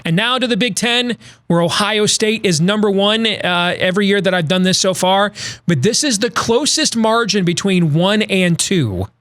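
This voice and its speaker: A man's voice: high-pitched, normal speed, a bit bright, no echo, studio quality. A man hosting a podcast, giving a conversational college football analysis with frequent restarts and self-corrections. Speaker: podcast host.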